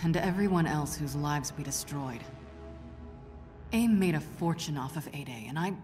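A cool secret agent in a video game. Her voice is very low, terse and controlled, with a dry delivery over faint music. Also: lively intonation, moderate pace, a little mellow. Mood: guarded regret. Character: cool, guarded female spy with a conscience. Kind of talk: naturalistic video game cutscene acting.

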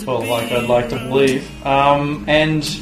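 Australian man, 20s, relaxed rambling interview talk, roomy sound with music under it. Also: medium pitch, unhurried. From Tasmania, Australia. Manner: laid-back, musing, loose storytelling. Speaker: pro vert inline skater, interviewee. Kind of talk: sit-down profile interview. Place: indoor room with window blinds.

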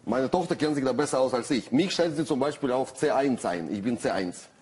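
A man's voice: medium pitch, a little fast, a little mellow. A middle-aged man with a Russian accent, a guest writer on a talk show, speaking hesitantly with many fillers and dry humor. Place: TV studio with audience.

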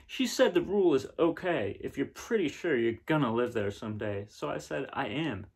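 A man in his thirties reading a picture book aloud in a casual, unhurried way with pauses between phrases. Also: mid-range voice, lively intonation, vocal fry, slightly rough. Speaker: reader of children's picture books. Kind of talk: gentle read-aloud storytelling and casual chat. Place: small living room indoors, seen on camera.

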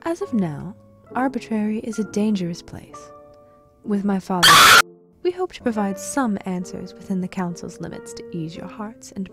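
An actress playing a composed, dutiful daughter who hosts a dystopian broadcast in a formal, careful, polite way. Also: female voice, mid-range voice, close to an everyday voice. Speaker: broadcast host.

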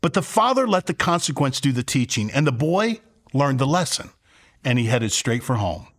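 A man's voice: mid-range voice, very animated, moderate pace. A man in his sixties, a pastor giving a conversational teaching to camera, emphatic and fond of rhetorical questions. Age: 60s. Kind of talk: conversational sermon to camera with acted-out examples. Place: furnished living room, indoors.

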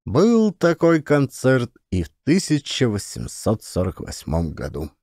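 A man narrating an adventure audiobook as a scripted story, with expressive, emphatic delivery. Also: medium pitch, a little slow, dry recording, clean studio sound. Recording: music behind the voice. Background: music.

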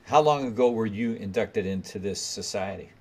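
Male podcast host, low voice, meandering questions, video call. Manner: halting, rambling question phrasing. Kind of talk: interview questions.

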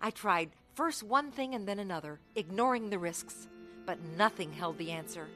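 Actress as bright-voiced restless young runaway woman. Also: medium pitch, expressive, normal speed, soft background music, lightly acted. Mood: earnest, regretful. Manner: earnest, confessional storytelling. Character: restless, reckless young woman recalling her wild runaway youth. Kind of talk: dramatised first-person radio testimony.

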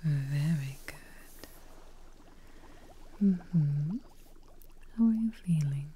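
A young woman playing a kindly witch receptionist in an ASMR role play, speaking very softly in a breathy, whispery, reassuring way, close to the microphone. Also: low voice, animated, slight background noise, full character voice. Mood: gentle, pleased. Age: young adult. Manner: slow, gentle, reassuring, caring. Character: kindly, soothing witch receptionist tending a guest.

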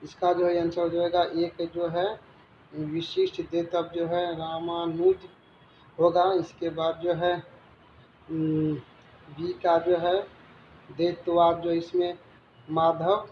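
A man with a rather high voice reads out quiz questions and their answers as a teacher. He goes haltingly, stumbling and repeating words. The sound is roomy and echoing, with faint noise behind him. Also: animated, gravelly. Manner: halting read-aloud with stumbles and repetitions. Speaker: teacher explaining exam questions. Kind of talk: reading quiz questions and answers aloud as a lesson. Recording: dull, roomy recording with background noise.

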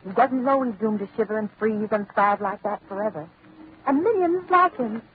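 An actress playing an anxious, devoted companion in a 1930s radio drama, speaking with emotion. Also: female voice, fairly high pitch, lively intonation, normal speed, natural voice. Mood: sorrowful. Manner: emotional, pleading. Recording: tinny old radio broadcast with faint hiss.

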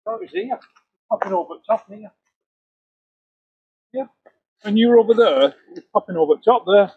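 Man, lively higher voice, insistent explainer, tinny off-mic capture. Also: fairly quick, breathy edge. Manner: insistent, explaining what he saw. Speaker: fellow investigator.

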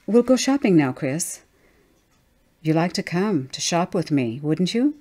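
Woman playing fearful young mother, brittle, emphatic, low mellow voice, dramatized story reading. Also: sing-song, unhurried. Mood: firm. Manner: tense, emphatic.

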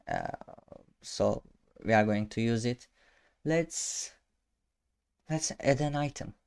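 A man's voice: medium pitch, gravelly, darkish tone, clean tone. A young man whose first language is Turkish, talking through a coding tutorial at his desk with lots of fillers and restarts. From Turkey. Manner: halting, many fillers and restarts, self-amused. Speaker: programming tutor. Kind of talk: casual screen-share coding tutorial. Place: small room indoors.

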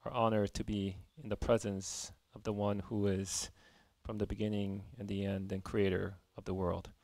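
Gravelly low man leading prayer. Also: level tone, a little mellow, no room sound. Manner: measured, reverent reading. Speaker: church service leader. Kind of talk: call to worship reading and prayer. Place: church.

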